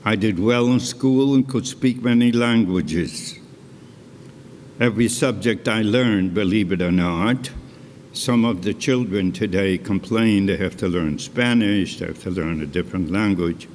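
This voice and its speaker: An older man, his voice sitting fairly low, talks slowly and deliberately through his own life story. He drops chatty asides to a live audience. His words ring out with a long hall reverb and a faint hum behind them. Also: a little mellow. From Poland. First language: Polish. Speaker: stage storyteller and cantor. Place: concert hall stage.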